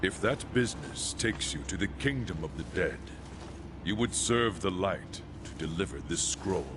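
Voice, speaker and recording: An actor playing a stoic, loyal angel warrior on guard, speaking in a measured, formal way in a video game. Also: male voice, low voice, expressive, background noise. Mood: firm, guarded. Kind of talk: video game cutscene acting.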